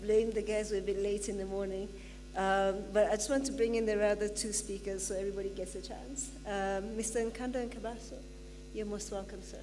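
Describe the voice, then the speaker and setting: A woman moderating a conference panel, brisk and polite. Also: medium pitch, flat delivery. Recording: reverberant conference hall with clear hum.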